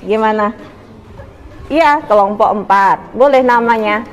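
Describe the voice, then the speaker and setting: High-voiced woman teacher in an echoey room. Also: unhurried, lo-fi sound. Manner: gently instructing with frequent checking questions. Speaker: school teacher guiding student groups. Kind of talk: informal classroom coaching. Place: indoor school classroom. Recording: echoey room with background noise.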